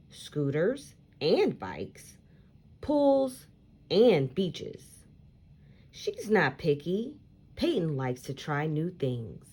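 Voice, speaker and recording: Woman reading slowly with very lively pitch. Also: slightly rough. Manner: animated, emphatic, deliberate phrasing for young listeners. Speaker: teacher reading picture books aloud for children. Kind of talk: expressive children's read-aloud storytelling.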